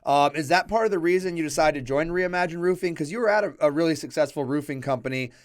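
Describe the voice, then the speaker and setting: A man's voice: on the high side, fast talker. A man hosting a company podcast, asking long, rambling questions in a friendly, casual way. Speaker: podcast host. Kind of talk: casual interview chat.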